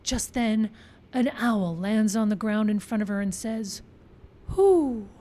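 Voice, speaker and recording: A woman's voice: mid-range voice, animated, slow pace, warm tone. An actress playing a level-headed but sly woman who reasons insistently, in live old-time radio style. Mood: dramatic pitching. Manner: insistent, reasoning, seeking agreement. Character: level-headed woman screenwriter pleading with gunmen, slyly ambitious. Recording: live outdoor show with background noise.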